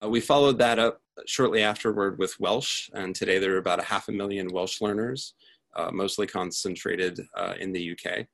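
A man's voice: low-pitched, moderate pace, gravelly, a bit bright. A man giving a prepared, explanatory talk as a speaker presenting research. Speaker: conference speaker, tech research lead.

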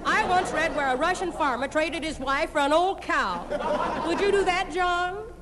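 Ditzy apologetic wife, old radio comedy, super high voice, echoey hall, loud audience. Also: female voice, normal speed, a loud crowd. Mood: plaintive, apologetic. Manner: naive, plaintive. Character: scatterbrained, self-pitying, apologetic wife. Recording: noisy echoing old radio audience recording.

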